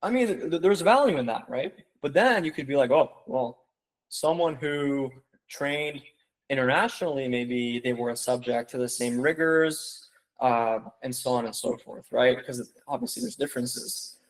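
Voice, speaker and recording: A man's voice: medium pitch, expressive, moderate pace, poor audio. A young man giving a casual online seminar, rambling with lots of "like" and "you know" and calling his viewers "guys.". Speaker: webinar presenter.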